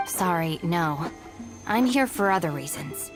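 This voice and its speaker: An actress playing a cool, dutiful young gang deputy in a video game, matter-of-fact, guarded and a little weary. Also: female voice, lowish voice, animated, measured pace, over music.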